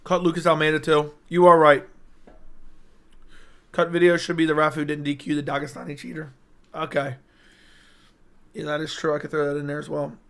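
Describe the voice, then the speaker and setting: A young man talks loosely to his livestream chat, rambling and hyped, answering comments as they come in. His voice is strained and keeps cutting in and out, though its tone underneath stays clear. It sits a little high for a man. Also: completely flat delivery, a little fast, long pauses, a bit bright. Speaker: MMA YouTuber hosting a livestream. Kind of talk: casual livestream chat reading viewer comments. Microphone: personal streaming mic.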